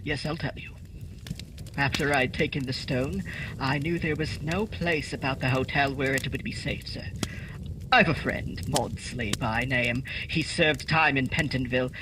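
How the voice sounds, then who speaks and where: A man's voice: mid-range voice, steady tone, measured pace, noisy background, natural voice. A man playing an anxious, guilty hotel attendant who falters and pleads in a radio play. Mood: guilty, pleading. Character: nervous, guilty male hotel attendant, weak-willed petty thief. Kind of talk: holiday radio play acting.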